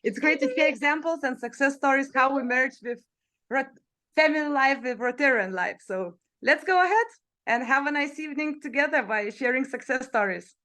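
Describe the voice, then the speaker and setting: A woman's voice: sits high, normal speed, sharp tone. A woman from Lithuania giving earnest welcome remarks on a video call, with a few fillers. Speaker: zone coordinator.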